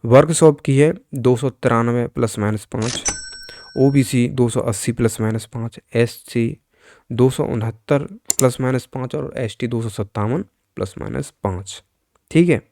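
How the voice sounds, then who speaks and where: A man's voice: medium pitch, a little slow, creaky, mellow, slight background noise. A man presenting exam news as an informal explainer, instructing viewers directly and often repeating himself. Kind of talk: informal explainer commentary over on-screen figures.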